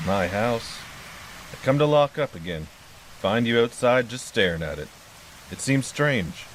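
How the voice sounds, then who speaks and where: An actor playing a wary, stoic caretaker of a haunted house in an audio horror drama, speaking in terse, guarded, unhurried phrases. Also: male voice, medium pitch, lively intonation, a little hiss or hum, close to an everyday voice, rough recording.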